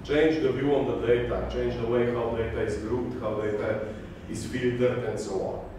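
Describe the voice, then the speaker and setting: A man's voice: mid-range voice, level tone, moderate pace, dark tone, far from the mic. Slovenian-accented man lecturing in an echoey hall. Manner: self-deprecating, lists items one by one. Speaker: conference speaker. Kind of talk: technical conference talk. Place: large conference hall. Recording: echoey hall, speaker off-mic, audible noise.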